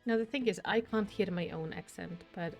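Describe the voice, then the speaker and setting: A woman from Iceland with an Icelandic accent, chatting casually and warmly on her gaming stream. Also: mid-range voice, moderate pace, faint music behind. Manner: chatty and friendly, with restarts.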